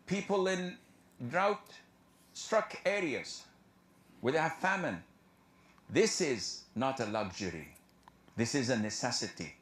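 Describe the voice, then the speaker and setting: A man giving an earnest religious lecture, explaining in a steady, lecturing way. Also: mid-range voice, slow pace, long pauses, roomy sound. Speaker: preacher.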